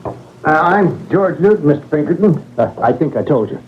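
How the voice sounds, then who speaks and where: An actor playing a frightened baggage master with a husky voice, stammering and agitated, in old-time radio drama style. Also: male voice, a little high, expressive, moderate pace, slight background noise, lightly acted. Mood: nervous, flustered. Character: frightened, flustered male baggage master on a train. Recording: tinny old radio recording.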